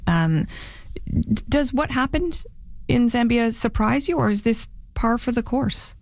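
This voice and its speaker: A woman presenting the news, putting probing, deliberately phrased questions to a guest in a live interview. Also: lowish voice, vocal fry, darkish tone, no room sound.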